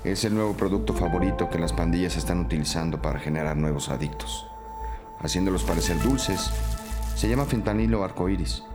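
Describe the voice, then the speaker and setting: An actor playing a serious, analytical police detective explaining evidence in an audio drama. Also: male voice, deep voice, brisk, loud music behind the voice, lightly acted. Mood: grave, explaining.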